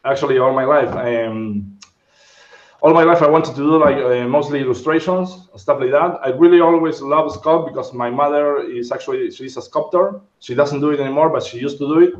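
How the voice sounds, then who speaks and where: A man's voice: medium pitch, a little fast. A man in his thirties from Argentina, Spanish his first language, chats loosely as a guest and hunts for English words. His voice comes in over a remote call, muffled and roomy. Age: 30s. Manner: rambling, searching for English words. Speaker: guest sculptor. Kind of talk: casual interview chat. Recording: dull, roomy sound.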